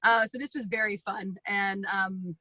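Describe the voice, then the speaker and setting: A woman from Florida, a voice actor with a deep, gritty voice, chatting and joking as a guest. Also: steady tone, unhurried, sharp tone, dry recording. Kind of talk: casual self-introduction.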